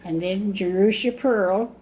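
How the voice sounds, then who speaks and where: A woman in her nineties from Indiana, recalling her family slowly in an oral history interview at home. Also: mid-range voice, steady tone, dark tone. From Indiana, USA. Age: 90s. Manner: chatty, recalls slowly with pauses. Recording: dull lo-fi 1980s cassette tape, faint hiss.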